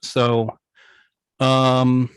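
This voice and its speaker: A middle-aged man casually telling his own story on a video call, pausing often as he finds his words. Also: mid-range voice, monotone, smooth, dry recording. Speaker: guest, founder of an old-time radio station. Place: online video meeting. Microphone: video call microphone.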